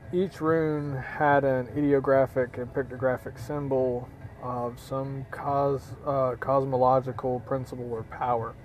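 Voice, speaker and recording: A man with a hoarse, slightly worn voice teaches in a loose, unscripted way. He pauses on fillers and keeps stopping to clear his throat, at an ordinary middle pitch. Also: darkish tone, slight background noise, rough recording. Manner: halting, many fillers, frequent throat clearing. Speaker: teacher of runes. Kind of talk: casual spoken lesson.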